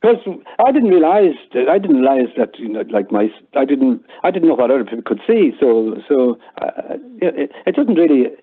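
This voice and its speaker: A man's voice: mid-range voice, very animated, normal speed, hardly any pauses, slightly rough. Older Irish man on a muffled line. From Ireland. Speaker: interview guest. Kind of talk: casual reminiscing. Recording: muffled, phone-like narrowband line.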